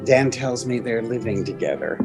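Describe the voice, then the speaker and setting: A man playing a gentle, wistful elderly retired masked hero in a fan-made drama, halting and diffident. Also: medium pitch, expressive, over music, close to an everyday voice. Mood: amused, fond.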